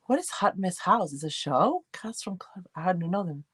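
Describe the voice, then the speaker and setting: A woman's voice: lowish voice, lively intonation, moderate pace, slightly rough, no room sound, clean studio sound. A woman from Argentina with a light Argentine accent, hosting a casual livestream and rambling as she answers viewers' comments. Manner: chatty, rambling, reads and answers chat comments. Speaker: livestream host.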